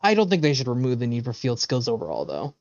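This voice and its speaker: A man's voice: medium pitch, wildly swooping pitch, fast, a little mellow, no echo. Man streaming games, dry, slightly dull sound. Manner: opinionated, profane, rambling. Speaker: video game streamer. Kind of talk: casual live stream chat with viewers.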